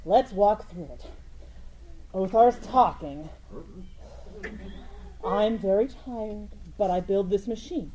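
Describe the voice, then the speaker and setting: A woman's voice: mid-range voice, slow, long pauses, warm tone, lightly acted, low-quality recording. A woman acting an earnest young inventor in a comic radio play, exasperated and analytical. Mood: flustered, reasoning.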